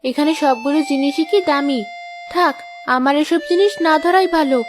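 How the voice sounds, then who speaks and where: A woman performs a poor, kind-hearted girl in a fairy-tale cartoon. Her voice is high and girlish, humble and earnest, with music clearly playing behind her. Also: normal speed, lightly acted.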